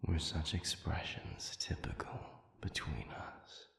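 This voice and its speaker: A man voicing a formal, intimate demon in an audio roleplay, speaking in a measured, breathy, gravelly whisper. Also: a bass voice, animated, a bit bright, echoey room, heavily acted. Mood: puzzled, curious. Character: formal, sadistic male demon with lost memory, tender and curious.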